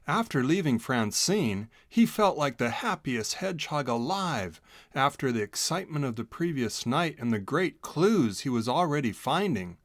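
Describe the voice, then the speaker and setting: A man's voice: mid-range voice, sing-song, normal speed, a bit bright, no echo, studio quality. A man narrating a children's story in a scripted read-along style.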